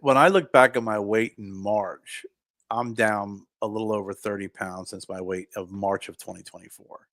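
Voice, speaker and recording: Reflective low-voiced man in his fifties. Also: fairly even intonation, moderate pace, a bit bright, no room sound. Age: 50s. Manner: rambling, restarts and trails off, reflective. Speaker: podcast co-host. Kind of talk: casual chat.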